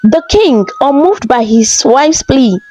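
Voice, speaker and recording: A woman's voice: high-pitched, very animated, slow pace, mellow, soft background music. A woman narrator reading a scripted folktale in a storybook style.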